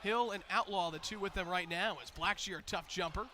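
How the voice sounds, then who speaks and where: An American man doing energetic live basketball play-by-play commentary, building to excited calls on big plays. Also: sits high, a little fast, slight background noise.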